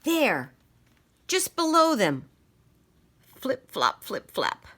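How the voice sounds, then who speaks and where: A woman reading a children's picture book aloud in a dramatic, deliberate storytelling manner. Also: high voice, wildly swooping pitch, fairly bright tone.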